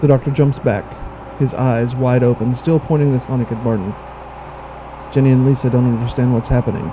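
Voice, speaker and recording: A man's voice: mid-range voice, steady tone, brisk, muffled recording, noisy background. A man reading scripted narration for an audio drama in the role of narrator.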